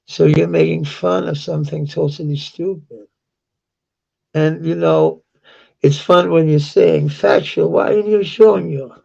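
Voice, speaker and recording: Danish guy w a really gravelly husky voice, talks slow, lo-fi sound. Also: a little high, a little mellow. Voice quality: gravelly, sore voice. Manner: halting, with many restarts and fillers. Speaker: vlogger. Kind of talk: rambling personal monologue.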